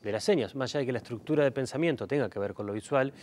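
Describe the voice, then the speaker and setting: A man's voice: mid-range voice, racing, darkish tone. A man, interviewing and affirming with short interjections. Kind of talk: interview questions and backchannel.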